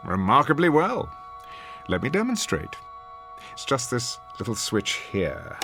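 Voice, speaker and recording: Casting: arch, sardonic middle-aged husband, radio drama, very animated intonation. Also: male voice, medium pitch, a little slow, creaky, exaggerated voice. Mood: dry, sardonic. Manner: arch, mocking, formal phrasing. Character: sardonic, needling husband and cynical theatre critic. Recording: studio radio drama with music underneath.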